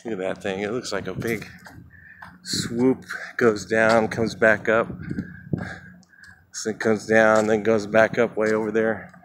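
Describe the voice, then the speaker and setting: A man with a fairly low voice gives a rambling, off-the-cuff commentary while walking outside a building, filmed on a handheld camera. Passing traffic and street noise sit clearly behind him. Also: level tone, unhurried, long pauses. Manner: rambling, thinking aloud, trailing off. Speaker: house painter filming a walkthrough. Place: outdoors beside a large building.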